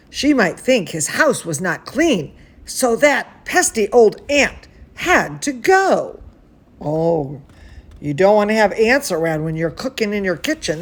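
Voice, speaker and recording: A woman hosting a children's bedtime story, reading aloud with affectionate, chatty asides and exclamations for young listeners. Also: mid-range voice. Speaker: children's bedtime story host and cooking teacher.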